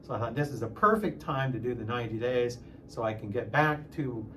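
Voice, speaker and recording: A man of sixty talking casually in a personal vlog, halting at times and repeating phrases for emphasis. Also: mid-range voice, a bit bright, slight background noise. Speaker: music teacher and channel host.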